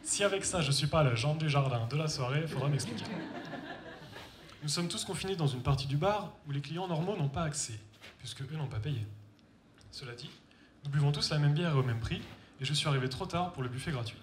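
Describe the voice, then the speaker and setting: A man's voice: a little high, lively intonation, brisk. A young man from France telling a comic personal story on stage, wry and self-mocking, talking straight to the audience. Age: young adult. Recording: echoey hall with faint noise.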